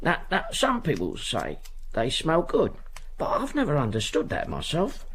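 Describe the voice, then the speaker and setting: A man's voice: fairly high pitch, huge pitch swings, normal speed, a bit bright, slight background noise, heavily acted. An actor playing a kindly, poor old ticket porter in a radio drama, stammering and repeating himself as he fusses. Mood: cheerful, fussing. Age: older.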